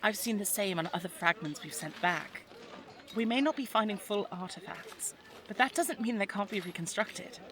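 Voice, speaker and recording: A woman's voice: mid-range voice, moderate pace, crowd noise, close to an everyday voice. A woman playing a spirited, teasing young English lady of the 1920s in an audio drama, chatty and well-spoken with an English accent. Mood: playful, enthusiastic. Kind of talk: audio roleplay drama, addressed to the listener.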